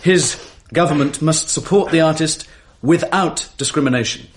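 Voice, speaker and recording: A man's voice: a little high, moderate pace, a little hiss or hum, natural voice. An actor playing a vain, possessive young male Oxford debater who talks in an arch, theatrical way in a radio drama. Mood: orating. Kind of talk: 1980s radio drama acting.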